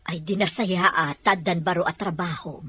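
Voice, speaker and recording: A woman's voice: fairly low pitch, steady tone, moderate pace, pushed and bright, husky, no room sound. A woman playing a caring, welcoming older-sister figure in an Ilocano audio drama. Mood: concerned, caring. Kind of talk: Filipino radio-drama dialogue in Ilocano.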